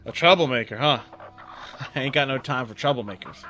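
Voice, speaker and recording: Man playing a gruff, bossy farm overseer. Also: mid-range voice, fairly quick, pushed and bright. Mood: grumbling. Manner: curt, grumbling, bossy. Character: gruff, impatient male farm overseer in a rigid society. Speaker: voice actor. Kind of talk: audio drama acting.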